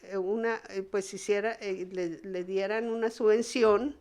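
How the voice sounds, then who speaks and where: A woman recounts theatre history conversationally as an interviewee, halting often and restarting her words. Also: mid-range voice, fairly even intonation, darkish tone.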